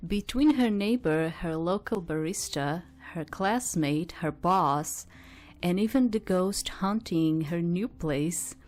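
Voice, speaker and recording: A woman giving casual game commentary and reading aloud, thinking out loud in a hesitant, rambling way. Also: on the low side. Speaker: host of a game playthrough.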